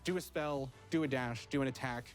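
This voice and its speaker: A man's voice: mid-range voice, brisk, faint music behind. A man explaining design choices in a promotional developer interview. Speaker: game designer.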